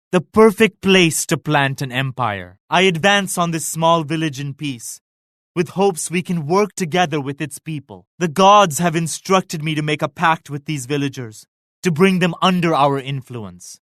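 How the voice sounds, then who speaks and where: An actor playing a devout, regal Mughal emperor in a video game, speaking in a formal, grave and pious manner. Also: male voice, fairly high pitch, fairly bright tone, dry recording, studio quality. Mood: devout, proud. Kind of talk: scripted game character lines.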